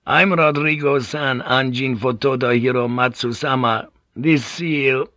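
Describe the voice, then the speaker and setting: Man playing a brash, swaggering Portuguese ship's pilot, raised pitch. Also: unhurried, bright, forceful tone, no echo. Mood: bluffing swagger. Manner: cocky, bluffing, coarse-mouthed.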